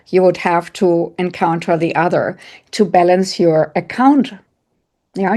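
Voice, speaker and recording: German-accented woman in middle age, soft lowish voice, calm, instructive webinar delivery in short repeated lines. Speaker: psychic medium and coach, guest speaker. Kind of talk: online workshop talk with audience questions.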